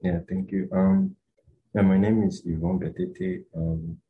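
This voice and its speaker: A man's voice: low voice, steady tone, measured pace, phone-quality sound. A young man from Rwanda, a student answering interview questions in a hesitant, casual way with many fillers and restarts. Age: young adult.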